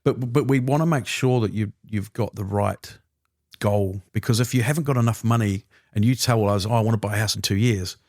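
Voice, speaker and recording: Low-voiced New Zealand man in his fifties. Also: fairly quick. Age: 50s. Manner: relaxed, chatty, frequent filler agreements. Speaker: financial services guest. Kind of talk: casual interview chat.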